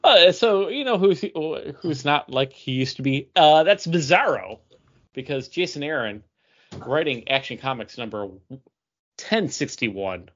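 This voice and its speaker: A man's voice: on the high side, animated, unhurried, a bit bright. A man co-hosting a podcast, chatting casually through reviews and often stopping to search for words. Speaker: podcast co-host. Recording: dull lo-fi sound.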